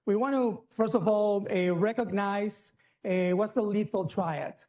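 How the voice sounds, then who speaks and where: High-voiced Peruvian-born surgeon giving a talk. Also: male voice, steady tone, measured pace, darkish tone. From Peru. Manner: chatty, many fillers and restarts. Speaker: surgeon lecturer. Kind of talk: medical lecture.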